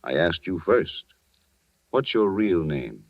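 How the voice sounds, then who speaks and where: A low-voiced middle-aged American stepfather, shrewd and quietly menacing. His intonation swings widely as he probes wryly, in 1940s radio drama style on a dull, dry recording. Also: very animated, slow, no echo, full character voice. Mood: probing, knowing.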